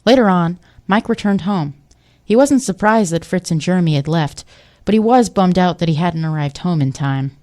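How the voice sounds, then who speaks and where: A woman's voice: lowish voice, lively intonation, moderate pace, darkish tone. A woman giving scripted story narration for an audio drama.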